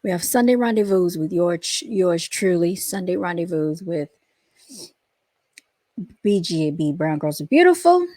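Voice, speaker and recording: A woman's voice: lowish voice, lively intonation, mellow, dry recording. A woman hosting her own channel and chatting casually to her viewers, her voice scratchy because she is coming down with something. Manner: chatty, drawn-out, talks straight to viewers. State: scratchy, under the weather. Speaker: host and founder of the channel.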